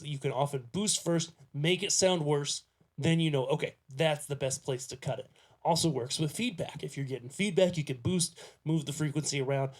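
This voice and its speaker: A man's voice: on the high side, a little mellow. A man presenting a casual hands-on tutorial, explaining conversationally and often checking in with the listener as he goes.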